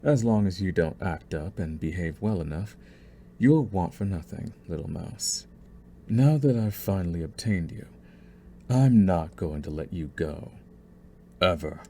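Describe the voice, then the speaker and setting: A man performs a young mafia heir in an ASMR drama, possessive and scheming. The voice sits very low and moves deliberately, with smug, mock-polite menace. Faint noise sits in the background. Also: lively intonation, mellow. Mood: possessive, controlling. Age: young adult. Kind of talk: ASMR roleplay acting.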